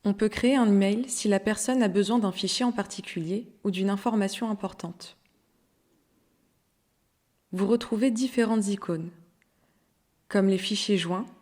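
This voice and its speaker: A woman narrating a scripted software tutorial in an instructional, step-by-step way. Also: medium pitch, normal speed, pauses often.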